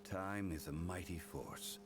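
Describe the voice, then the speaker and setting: An actor playing a solemn, composed ancient god in human form in a video game, speaking in a measured, formal, contemplative way. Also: male voice, deep voice, noisy background. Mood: solemn, reflective. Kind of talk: scripted video game dialogue acting.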